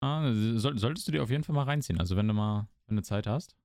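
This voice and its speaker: A man co-hosting a podcast, mostly giving short replies and playful exclamations in a relaxed chat. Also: fairly low pitch, fast, no echo, clean studio sound. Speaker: podcast co-host, small YouTuber. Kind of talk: casual chat.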